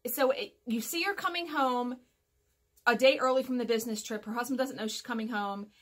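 A woman's voice: fairly high pitch, fairly quick. Chatty woman in her forties, casual vlogger. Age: 40s. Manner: chatty, rambling, self-correcting, emphatic. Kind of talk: casual chat to viewers. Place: small furnished room at home, indoors.

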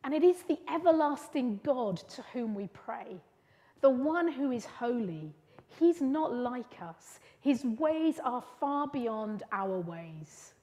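A middle-aged woman preaching from a lectern in a church. Her voice sits a little high, and she teaches in an earnest, gentle way with measured pauses. The church reverb hangs on after her words. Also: big pitch swings. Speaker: preacher leading a church service. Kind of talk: sermon. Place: church hall.

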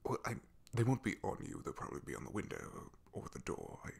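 Male actor as devoted knight, ASMR roleplay. Husky and crackly, deferential, nervously hesitant, apologetic. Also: on the low side, huge pitch swings, full character voice. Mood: nervous, eager-to-please. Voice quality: breathy, gravelly. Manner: hesitant, apologetic, halting with throat clearing. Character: awkward, loyal, deferential male knight guarding a princess. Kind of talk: ASMR roleplay, soft half-whispered acting.

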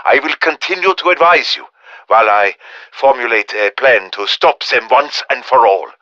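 An earnest scholar of the occult for a video game. His voice swings widely in pitch and has a forceful, bright edge. He speaks in formal, precise phrases, recorded close and dry. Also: lively intonation, moderate pace, dry recording, highs cut off. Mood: rallying. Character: earnest, erudite German professor of demonology, embattled ally. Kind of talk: dramatic game voice acting, radio briefing monologue.

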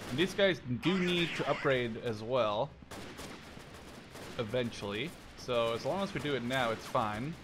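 A man in his twenties giving casual let's play commentary, thinking out loud in short phrases as if talking to himself. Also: mid-range voice, animated, measured pace, background noise. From Canada or Netherlands. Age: 20s. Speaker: gaming YouTuber playing and commentating.

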